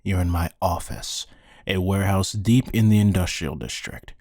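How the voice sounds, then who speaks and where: Man acting a low, husky, menacing hired interrogator. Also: normal speed, no echo, clean studio sound. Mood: bored, condescending. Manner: deadpan, condescending, taunting. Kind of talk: ASMR roleplay monologue.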